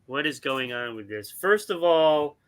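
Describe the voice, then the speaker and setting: A man co-hosting a live sports talk show, giving opinions in a deliberate way with pauses mid-sentence. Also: fairly high pitch, lively intonation, fairly bright tone, clean tone.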